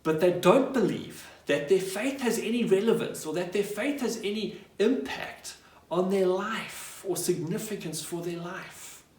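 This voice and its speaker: A man's voice: high voice, measured pace, darkish tone. A man preaching a sermon as a pastor, retelling scripture in a conversational way with acted-out dialogue and rhetorical questions. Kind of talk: sermon, retelling a Bible story. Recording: echoey room, distant microphone.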